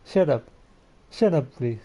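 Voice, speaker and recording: A man's voice: mid-range voice, expressive, unhurried, mellow. A man giving casual, slangy, teasing commentary as he streams a video game.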